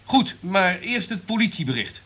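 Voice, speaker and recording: An actor playing a ruthless, commanding radio station director in a radio drama, imperious and authoritative. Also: male voice, high-pitched, very animated, normal speed, a bit bright, a little hiss or hum. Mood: controlled.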